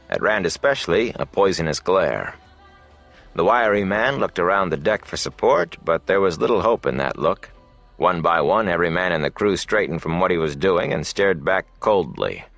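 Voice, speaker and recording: A man narrating a fantasy novel as an audiobook, in a measured, dramatic storytelling manner. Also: low-pitched, fairly bright tone, dry recording.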